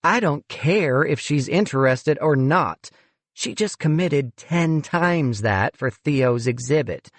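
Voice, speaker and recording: A man's voice: a little high, expressive, slow, no room sound, pitched above the performer's own voice. A man voicing an irritable female fundraising chief who talks bluntly and sneers. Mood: curt irritable.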